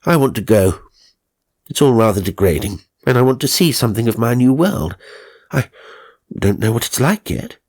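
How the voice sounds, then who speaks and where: Man acting a peevish, proper gentleman ghost. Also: mid-range voice, expressive, moderate pace, voice pushed up. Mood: irritable. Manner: exasperated, emphatic, proper. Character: good-natured but irritable gentleman spirit at a séance. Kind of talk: dramatised audiobook ghost story.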